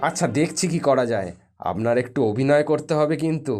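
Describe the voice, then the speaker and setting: A man's voice: fairly high pitch, animated, fast, darkish tone, close to an everyday voice. A man playing a sensible village doctor in a cartoon, matter-of-fact with a dry, teasing manner. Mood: agreeable, reassuring. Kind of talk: animated cartoon dialogue. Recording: music behind the voice.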